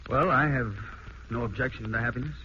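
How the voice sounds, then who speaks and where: An actor playing an irritable, sarcastic young husband with a curt, dry manner in a 1940s radio drama. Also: male voice, mid-range voice, fairly quick, pushed and bright, lightly acted. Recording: muffled, hissy old radio broadcast.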